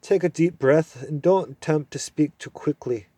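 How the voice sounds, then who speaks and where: A man voicing a deaf, irritable old male dwarf who grumbles loudly and impatiently, in a storybook reading. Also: a little high, normal speed, dry recording, pitched above the performer's own voice, close to an everyday voice. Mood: cranky.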